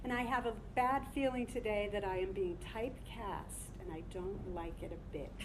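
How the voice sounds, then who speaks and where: A woman's voice: mid-range voice, normal speed. Woman reading on stage, faint background noise. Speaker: theater director and performer. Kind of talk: staged reading and speaking to an audience.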